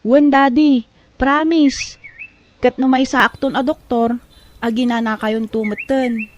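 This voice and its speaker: A woman's voice: a little high, fairly even intonation, unhurried, muffled recording, a little hiss or hum, lightly acted. A woman playing a loving, earnest young daughter in an emotional audio drama, speaking with a Filipino accent. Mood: eager.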